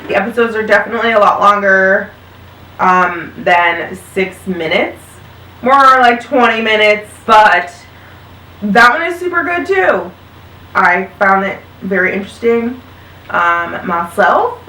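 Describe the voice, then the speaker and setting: A woman's voice: mid-range voice, lively intonation, a little slow, sharp tone. A woman in her thirties, an upbeat host and mother, chatting casually about podcasts with her kids. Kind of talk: casual chat recommending podcasts. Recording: roomy sound, mic at a distance.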